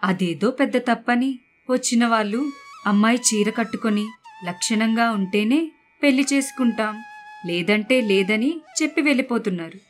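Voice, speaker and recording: A woman's voice: a little high, normal speed, close to an everyday voice. A woman voicing a plaintive middle-aged mother complaining and explaining in a cartoon moral story. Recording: constant background music.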